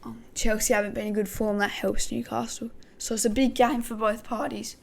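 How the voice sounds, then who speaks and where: Croaky woman talking football. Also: fairly high pitch, level tone, normal speed. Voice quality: gravelly. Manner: thinking aloud, hesitant with many ums. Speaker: football podcast host. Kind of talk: casual pre-match football talk.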